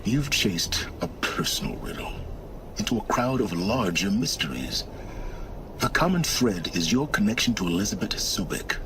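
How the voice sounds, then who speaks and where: An actor playing a secretive middle-aged scholar in a video game cutscene, speaking in a measured, condescending and impatient way. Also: male voice, on the low side, expressive, background noise, natural voice. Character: secretive, condescending older male lone scholar and wanderer.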